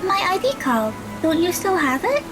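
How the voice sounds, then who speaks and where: A woman playing a sweet, excitable teenage girl in an audio drama, eager and polite. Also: very high-pitched, moderate pace, over music. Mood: timid, polite.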